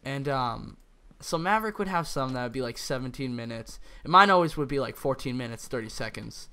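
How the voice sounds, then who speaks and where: A young man with a croaky voice, co-hosting a gaming video and rambling in casual chat with lots of "like" fillers. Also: medium pitch, lively intonation, brisk, bright, forceful tone. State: croaky, throat-clearing. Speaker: gaming channel co-host. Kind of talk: casual chat over gameplay.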